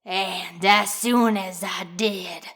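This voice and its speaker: A woman's voice: medium pitch, fairly even intonation, soft background music. A woman voicing a vengeful young village woman turned hag in a brooding dramatic horror monologue. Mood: anguished, vengeful. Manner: slow, brooding, swelling from hushed whispers to intense outbursts.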